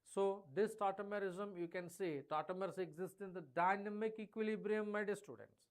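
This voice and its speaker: High-voiced Indian chemistry teacher lecturing. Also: male voice, fairly even intonation, moderate pace. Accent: Indian English. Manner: emphatic, addresses students directly, mixes in Hindi phrases. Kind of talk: live online exam revision lecture.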